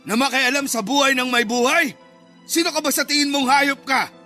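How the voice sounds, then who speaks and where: Casting: bitter, menacing older dad for radio drama, very high strained pitch, piercing and forceful. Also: male voice, normal speed, faint music behind. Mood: furious, threatening. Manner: shouting, indignant, threatening. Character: angry, abusive, unforgiving father.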